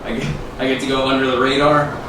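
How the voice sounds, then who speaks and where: A man's voice: mid-range voice, completely flat delivery, quick pace. A young adult man, a native Spanish speaker from Mexico, doing a self-deprecating stand-up set with a dry delivery and nervous chuckles. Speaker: stand-up comedian. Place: comedy club stage. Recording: echoey club, mic far off, loud room noise. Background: audience laughter.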